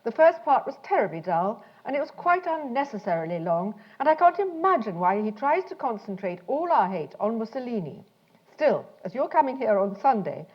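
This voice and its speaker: Female letter reader, mid-pitched with animated intonation, slightly muffled recording. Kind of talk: reading a letter aloud. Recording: dull, muffled talk recording.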